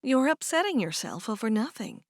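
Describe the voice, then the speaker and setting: A woman reading aloud and voicing a kind, calm older sister who speaks gently and reassuringly. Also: a little high, moderate pace, no room sound, clean studio sound, voice pushed up. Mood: soothing.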